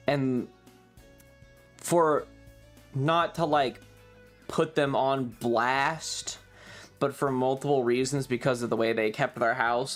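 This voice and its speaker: A man talks like a streamer chatting to viewers, telling a story in loose, halting pieces. His delivery is wry and informal, with some swearing. Music plays faintly behind his voice. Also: mid-range voice. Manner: frequent throat clearing. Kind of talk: casual rambling storytelling on a stream.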